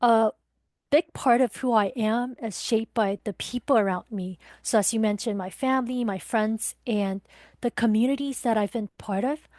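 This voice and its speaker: A woman's voice: a little high, normal speed, a little mellow, dry recording, studio quality. A woman in her twenties from Taiwan, a guest talking thoughtfully with some hesitation about growing up. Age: 20s. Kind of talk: reflective interview conversation.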